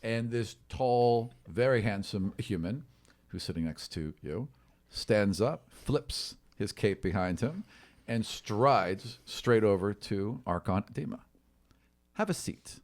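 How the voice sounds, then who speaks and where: A man's voice: fairly low pitch, takes it slowly, darkish tone. A man improvising tabletop game narration as game master, with theatrical emphasis and conversational asides.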